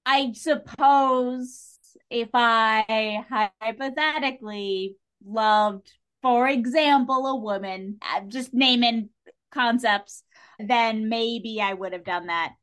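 High-voiced woman talking with emphatic enthusiasm. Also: slow pace, fairly bright tone, clean tone. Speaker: podcast guest. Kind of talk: casual, opinionated discussion.

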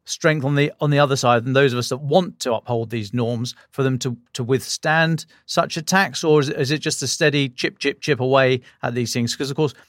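British guy podcast host, mid-pitched, dry sound, piles up probing questions, chatty wording. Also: moderate pace, few pauses, no room sound. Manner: probing, stacks questions, colloquial. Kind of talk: interview questioning.

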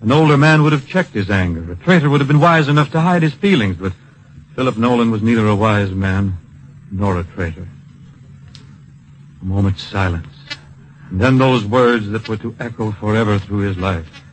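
An actor playing an earnest, solemn storyteller, narrating in poetic phrases with dramatic pauses in an old-time radio drama. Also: male voice, fairly low pitch, slight background noise, lightly acted, rough recording. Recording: vintage radio broadcast with music.

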